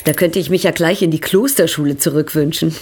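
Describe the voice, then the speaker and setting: An actress playing a worldly, theatrical baroness in a period radio drama, with a grand, affected manner. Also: female voice, low voice, fairly quick, darkish tone, a little hiss or hum, natural voice. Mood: dry, bored.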